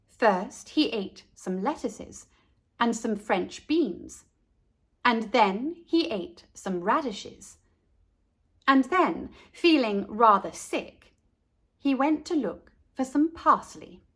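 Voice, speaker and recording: A woman hosting a storytime, reading a children's storybook aloud in a deliberate, expressive way and speaking directly to young listeners. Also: a little high, husky. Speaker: storytime host and narrator.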